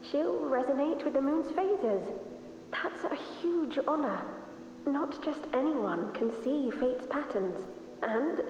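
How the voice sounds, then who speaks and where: A woman's voice: sits high, a little mellow. An actress playing a solemn, devout young woman believer in a video game. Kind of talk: dramatic video game acting. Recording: muffled, noisy, very echoey hall.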